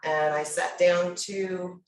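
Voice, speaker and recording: A woman's voice: on the low side, fairly even intonation, slow, distant mic. A middle-aged woman from New York introducing herself as a workshop presenter, telling her story candidly and bluntly. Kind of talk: personal introduction at a conference panel. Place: conference room. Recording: echoey room, voice from afar.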